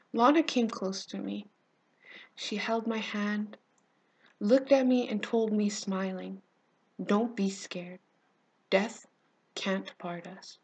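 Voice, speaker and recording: Breathy, slow-speaking grieving teenage girl acted by a woman. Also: mid-range voice. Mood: fearful, tender. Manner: slow, halting, emotive, swelling from hush to intensity. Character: grieving teenage Gazan girl recounting war, tender and haunted. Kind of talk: dramatic monologue recital.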